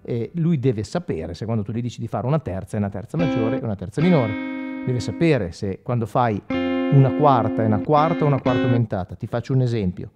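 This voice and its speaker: A man's voice: medium pitch, animated, a little fast. Man, forties, mid-range voice, informal tutorial, conversational, direct address, electric guitar in the background. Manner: conversational, addresses the viewer directly, often checks with short questions. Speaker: guitar instructor and product demonstrator. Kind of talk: informal tutorial, explaining while demonstrating. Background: electric guitar playing with effects.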